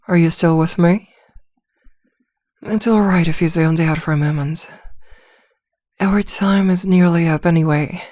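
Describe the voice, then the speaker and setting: A woman playing a polite, gently professional therapist in an ASMR roleplay, speaking softly and courteously with mild hesitation. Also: on the low side, no echo, clean studio sound. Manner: soft, courteous, mildly hesitant.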